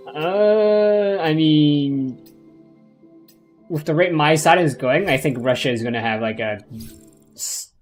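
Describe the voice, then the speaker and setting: A man's voice: a little high, slow, pauses often, faint music behind. Rambling casual gaming streamer man. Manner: rambling, many fillers and restarts.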